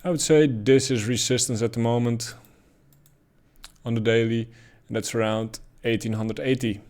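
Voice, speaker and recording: A man with a Dutch accent talks through trading charts at an easy, unhurried clip. His pitch sits in a middle register, and he fills pauses with ums and uhs as he thinks out loud. It sounds like a relaxed voice-over recorded at a home desk. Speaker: crypto chart analyst. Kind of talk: casual market commentary over charts.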